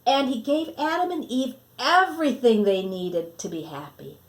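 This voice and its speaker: A woman reading a children's story aloud expressively, with deliberate phrasing that sometimes drops to a hush. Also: on the high side. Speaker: storybook reader.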